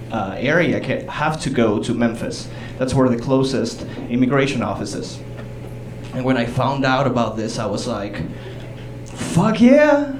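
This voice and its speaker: Animated man doing stand-up, roomy club sound. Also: mid-range voice, noisy background. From southern Chile. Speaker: stand-up comedian. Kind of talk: live stand-up comedy set. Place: small comedy theater stage, indoors. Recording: roomy live club sound, distant, audience. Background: audience laughter.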